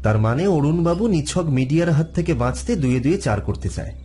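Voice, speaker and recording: A man's voice: on the high side, animated, brisk, warm tone, soft background music, lightly acted. A man playing a witty, food-loving detective in an audio drama, talking conversationally and thinking aloud. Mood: pondering, suspicious. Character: witty food-loving married male detective, shrewd and probing.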